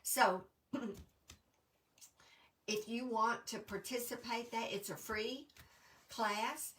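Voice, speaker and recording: A middle-aged woman with a stuffy, congested voice, chatting casually to viewers, with lots of ums and throat clearing. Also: on the high side, fairly even intonation, slow pace, a bit bright, far from the mic. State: congested from allergies. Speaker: crafting demonstrator. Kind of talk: casual live-stream chat.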